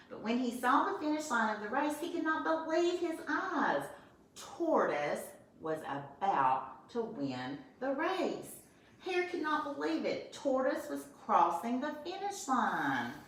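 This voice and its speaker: Woman, first-grade teacher, gentle storybook narration; far from mic, faint background noise. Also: fairly high pitch, measured pace. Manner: expressive, gentle, talks to young children. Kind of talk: storybook read-aloud and lesson. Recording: roomy echo from a distance, faint noise.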